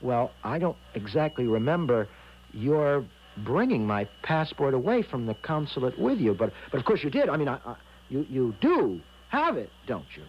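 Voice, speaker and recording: A man's voice: medium pitch, sing-song, moderate pace, natural voice. An actor playing a timid, naive young man in a 1970s radio drama, hesitant and stammering with anxiety. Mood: anxious. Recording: dull, noisy 1970s radio recording.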